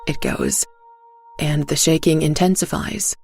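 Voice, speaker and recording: A woman narrating a scripted dark story in the first person, in a measured, deliberate way. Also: low voice, huge pitch swings, creaky, soft background music. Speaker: narrator of an audio fiction story.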